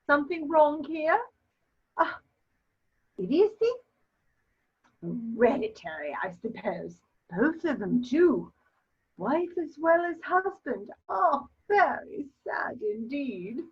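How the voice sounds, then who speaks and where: Haughty old society mother, acted by a woman, slow and swooping delivery, period stage comedy, slightly dull recording. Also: high voice, big pitch swings, full character voice. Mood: disdainful, exasperated. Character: imperious, disapproving elderly society matron, a mother. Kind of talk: theatrical dramatic reading of a period comedy.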